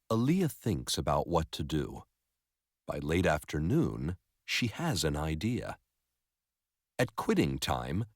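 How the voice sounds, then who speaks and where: A man narrating a story in an expressive educational read-aloud style. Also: low voice, no echo, studio quality.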